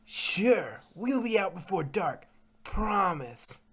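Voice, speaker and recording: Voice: medium pitch, very animated, slow, fairly bright tone, husky, exaggerated voice. A performer voicing a brash, mischievous young pony filly in a story reading. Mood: assuring.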